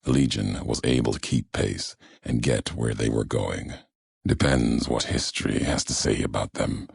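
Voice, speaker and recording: An actor playing a grim, brooding wasteland courier in a video game, raspy and solemn, speaking in clipped, cryptic phrases. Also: male voice, fairly even intonation, normal speed, dry recording, heavily acted. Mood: measured, instructive. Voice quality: very deep, gravelly, raspy. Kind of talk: scripted video game dialogue.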